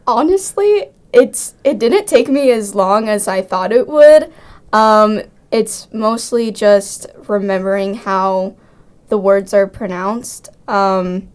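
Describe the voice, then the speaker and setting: A woman's voice: medium pitch, takes it slowly, a little mellow, clean tone. A teenage girl, a student director and cast member, answering interview questions chattily with lots of ums and likes. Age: teens.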